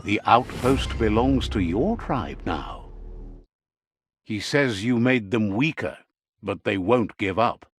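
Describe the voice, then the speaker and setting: A man's voice: on the low side, lively intonation, slow pace. A man giving scripted narration for a video game, a wry storyteller who relays what the characters say.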